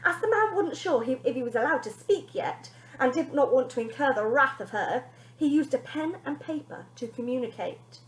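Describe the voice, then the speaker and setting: A woman teacher reading a children's story aloud in an expressive narrating style. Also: sits high, moderate pace. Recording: echoey room.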